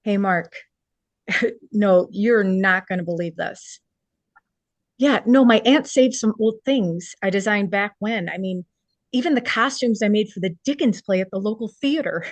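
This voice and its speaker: A woman reading the part of a warm, earnest costume designer in a screenplay table read. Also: mid-range voice, unhurried, no echo, natural voice. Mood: friendly, chatty. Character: warm, earnest female costume designer back in her hometown.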